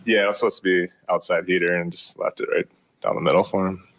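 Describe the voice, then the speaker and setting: A young man, a rookie pitcher, answering postgame questions in a modest, low-key way with many ums. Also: level tone, quick pace, fairly bright tone. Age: young adult. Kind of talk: postgame media interview.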